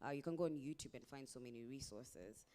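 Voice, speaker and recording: A woman with a Kenyan accent speaks as an investor on a workshop panel. Her voice is smooth and resonant. She lays out her lessons point by point and restarts a phrase now and then. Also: very deep voice, fast, dark tone. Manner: explains point by point, restarts, occasional laughs. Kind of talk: panel discussion answer.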